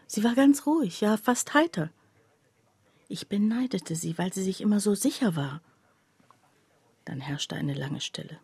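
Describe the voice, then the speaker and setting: Radio play: reflective, loyal friend telling the story, soft dark husky tone, dry studio sound. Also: female voice, mid-range voice, expressive, a little slow, long pauses, no echo, heavily acted. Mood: weary, confessional. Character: reflective woman narrator, loyal, envious friend of accused mother. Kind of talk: first-person radio play narration.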